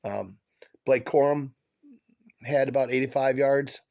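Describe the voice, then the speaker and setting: A man hosting a casual sports podcast, talking with a stuffed-up head cold, halting with many fillers and restarts as an excited fan. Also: mid-range voice, vocal fry. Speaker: podcast host.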